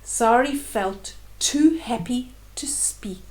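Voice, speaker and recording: An older woman reads a children's story at a very unhurried pace. Her voice sits a little high, and she pauses to explain the pictures gently and simply to young listeners. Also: whispered, low-quality recording. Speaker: storyteller reading children's books aloud. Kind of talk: children's bedtime story read-aloud with asides about pictures.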